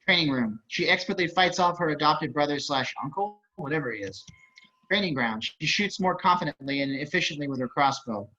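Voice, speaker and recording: A man's voice: fairly high pitch, expressive, a little fast, bright, forceful tone. A man narrating at a table read, reading out screenplay stage directions over a video call. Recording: slightly dull video-call audio.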